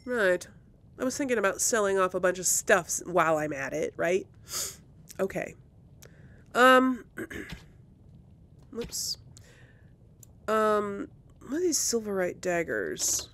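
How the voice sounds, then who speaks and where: A woman's voice: mid-range voice, animated, slow pace, pauses often, fairly bright tone. A woman hosting a let's play, chatting casually and thinking aloud as she comments on her game.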